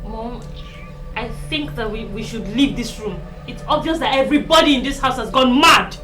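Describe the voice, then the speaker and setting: A woman's voice: fairly high pitch, normal speed, roomy sound, over music, natural voice, rough recording. An actress playing a young wife who demands answers and defends her marriage.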